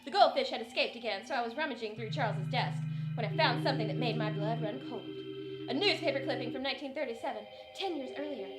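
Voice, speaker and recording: A woman's voice: a little high, expressive, fast talker, lightly acted. A woman playing an overwrought, sardonic wife in a parody of 1940s radio drama. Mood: narrating, deadpan. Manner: overwrought, theatrical. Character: hysterical melodramatic 1940s wife, Patricia, with a sardonic streak. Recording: roomy echo under loud music.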